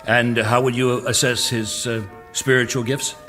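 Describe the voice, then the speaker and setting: A man acting in an audio drama as a businesslike, assured chairman of a secretive project, speaking in a formal, measured way. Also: medium pitch, little pitch movement, sharp tone, faint music behind. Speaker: meeting chairman.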